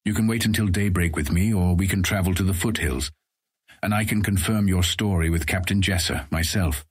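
Cold stern fantasy ranger, really low husky flat voice, curt and bossy, audiobook drama. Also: male voice, brisk, dry recording, studio quality. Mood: gruff, composed. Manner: curt, commanding, formal speech. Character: cold, stern ranger guarding the road, older than Raylan. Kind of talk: full-cast fantasy audiobook acting.

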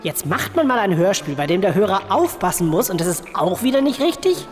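A woman's voice: medium pitch, very animated, a little fast, big-room sound, faint music behind, close to an everyday voice. A woman in a comedy sketch playing a defensive, whiny radio-play writer who stammers through excuses. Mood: indignant. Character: flustered male radio-play writer on trial.

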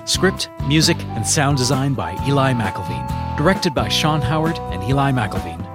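A man with a medium-pitched voice reads scripted show credits as an announcer. Loud plucked guitar music runs underneath him. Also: lively intonation, moderate pace.

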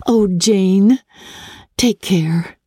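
A woman voicing a quick-witted, vexed young gentlewoman in a theatrical, emphatic reading of a classic novel. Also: medium pitch, husky, no echo, voice pushed up. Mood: teasing. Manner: emphatic, theatrical, swooping intonation. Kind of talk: dramatic literary audiobook reading.

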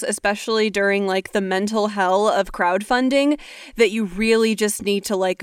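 A woman's voice: mid-range voice, clear, resonant tone, no room sound, clean studio sound. A woman hosting an advice podcast, moving between scripted narration and interview talk. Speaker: podcast host.

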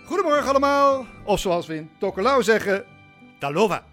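An actor playing a bumbling, sentimental older uncle in a Dutch radio drama, hesitant and stammering, then effusive. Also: male voice, high-pitched, sing-song, fairly quick, bright, forceful tone, soft background music, exaggerated voice. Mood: cheerful. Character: bumbling, sentimental long-lost uncle, old world-travelling sailor.

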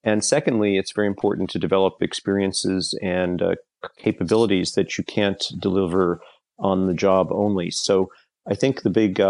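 A middle-aged man with a very low, gravelly voice, answering interview questions for a podcast. He talks in a measured way and often pauses on "uh". The sound is dry and close. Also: darkish tone, dry recording. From Europe. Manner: measured, frequent "uh" fillers. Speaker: leadership consultant, guest.

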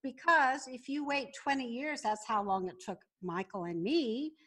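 A woman's voice: fairly high pitch, measured pace, fairly bright tone. A woman speaking as an interview guest, laying out business advice in a conversational, instructive and methodical way. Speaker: podcast guest, business founder.

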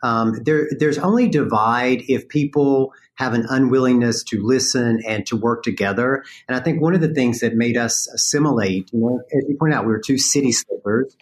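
A man's voice: medium pitch, moderate pace, hardly any pauses. A man from North Carolina with a Southern accent, talking earnestly as an interview guest. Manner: earnest, thoughtful, many fillers. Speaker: guest, farm business owner. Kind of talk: conversational interview.

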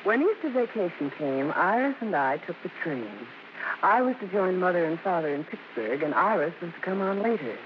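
A dull, noisy vintage radio recording of an actress as a grown American daughter looking back fondly. She narrates in measured, scripted storytelling, with a lively rise and fall. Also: on the low side, natural voice. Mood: reflective. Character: grown daughter fondly recalling her show-business family. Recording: muffled, noisy old radio broadcast.

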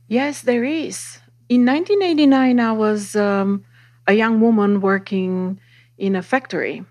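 A woman in her fifties from Bulgaria speaking on a panel, her voice slightly high. She recalls the past in an earnest, reflective way. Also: measured pace, darkish tone. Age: 50s. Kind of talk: personal recollection on a panel.

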